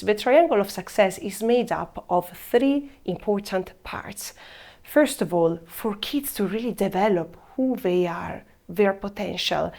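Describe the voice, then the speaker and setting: A woman in her thirties from Italy with an Italian accent, giving a measured presentation that slows down to stress key words. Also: mid-range voice, creaky, darkish tone. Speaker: program presenter. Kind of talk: scripted presentation.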